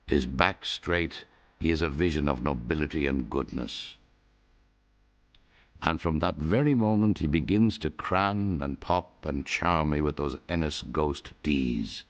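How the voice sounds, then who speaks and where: Very deep-voiced Irish man narrating. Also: highs cut off. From Ireland. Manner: wry, rueful storyteller, lyrical lists. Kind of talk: scripted first-person radio narration.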